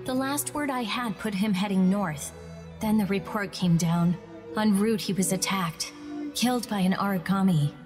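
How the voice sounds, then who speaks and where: An actress playing a caring, worried young woman soldier in a game dub, speaking gently and earnestly. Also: mid-range voice, normal speed, natural voice. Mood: troubled, suspicious. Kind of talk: anime game English dub acting. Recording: reverberant, music behind.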